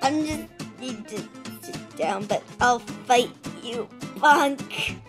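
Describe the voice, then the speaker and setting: A woman's voice: fairly high pitch, takes it slowly, music in the background. A woman voicing a hot-headed, scrappy skeleton in a comic dub, brash and spoiling for a fight. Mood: cocky, defiant. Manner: brash, combative. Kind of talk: comic dub cartoon acting.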